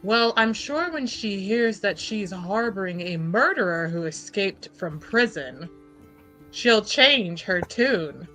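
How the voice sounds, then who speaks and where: A woman's voice: mid-range voice, expressive, slow, bright, forceful tone, faint music behind, poor audio. A woman voicing a haughty, determined woman hunting a criminal in a fantasy tabletop game. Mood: indignant. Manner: indignant, imperious.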